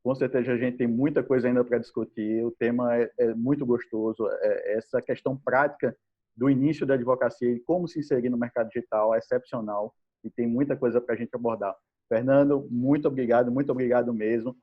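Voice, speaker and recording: Husky host, lo-fi. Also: male voice, medium pitch, steady tone. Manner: friendly, enthusiastic, halting with fillers. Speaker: podcast host. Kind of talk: live video-call chat. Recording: dull, lo-fi call audio.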